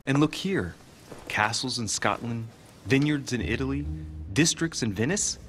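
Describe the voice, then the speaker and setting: A man acting an eager, inquisitive young gentleman investigator in a video game cutscene, with an earnest, teasing, expressive delivery. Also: mid-range voice, unhurried, a little hiss or hum. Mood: intrigued.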